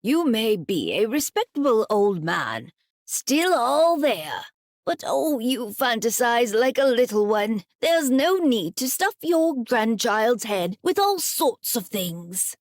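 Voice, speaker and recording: A woman's voice: on the high side, very animated, slow pace, no echo, clean studio sound, full character voice. A woman voicing an elderly, scolding grandmother in a children's story, snappish and emphatic. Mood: angry scolding.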